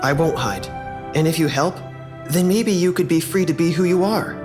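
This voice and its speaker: A man playing an earnest, gentle human interviewer in an audio drama, hesitant and sincere. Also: a little high, moderate pace, music in the background, natural voice.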